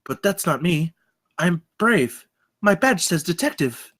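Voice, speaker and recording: An actor playing an earnest, naive rookie campus security cadet in a comedy table read, excitable and eager. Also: male voice, high-pitched, slow pace, heavily acted. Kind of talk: comedy script read aloud.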